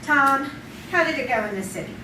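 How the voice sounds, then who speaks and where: Woman acting high swooping villainous wife, ruthless, deliberate pace, radio melodrama, echoey stage. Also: expressive. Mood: cool, calculating. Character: cold, ruthless, scheming wife of a tax cheat. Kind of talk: old-time radio drama acting. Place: live theater stage. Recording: distant echoey stage recording, clear noise.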